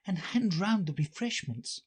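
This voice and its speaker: A man's voice: high voice, lively intonation, a little slow, husky, voice pushed up, heavily acted. A man voicing a polite, curious little girl in a storybook reading, with an eager and prim manner.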